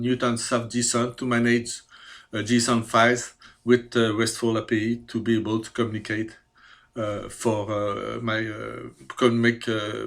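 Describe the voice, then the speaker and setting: A man in his fifties from Quebec, a native French speaker with a Quebec French accent, presenting his skills with careful, hesitant speech and many pauses. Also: mid-range voice, fairly bright tone. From Quebec, Canada. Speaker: CAD programmer. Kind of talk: self-presentation video.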